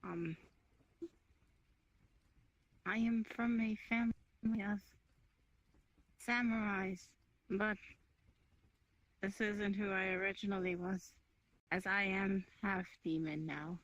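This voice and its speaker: A woman roleplaying a shy half-demon from a samurai family, speaking haltingly. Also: mid-range voice, fairly even intonation, fairly bright tone, no room sound. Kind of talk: in-character improvised roleplay. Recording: dull lo-fi voice chat mic.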